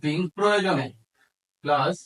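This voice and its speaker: A man with a Bangladeshi accent and a slightly high voice gives an instructional grammar lesson. He explains step by step, dictating in small pieces and switching between Bengali and English terms. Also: slow pace, fairly bright tone, low-quality recording.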